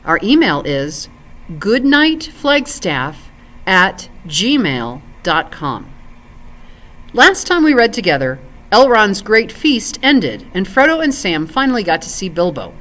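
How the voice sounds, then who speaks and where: A woman reading a story aloud for families in an expressive, clearly phrased storytelling style. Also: mid-range voice, slow. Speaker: volunteer story reader and ESL teacher.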